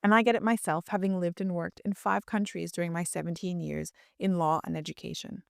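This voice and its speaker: A woman lawyer and educator giving a polished, measured scripted ad read. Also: fairly low pitch, steady tone, creaky, no room sound, clean studio sound.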